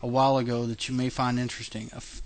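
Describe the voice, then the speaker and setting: A man's voice: medium pitch, flat delivery, very fast. A man hosting a podcast in casual chat, chatty with many fillers and restarts, laughing often. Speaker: podcast host.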